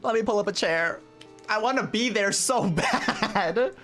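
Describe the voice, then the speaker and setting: A woman's voice: medium pitch, measured pace, fairly bright tone, soft background music. A woman giving casual, gushing live commentary while she plays a game as a streamer. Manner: gushing, informal.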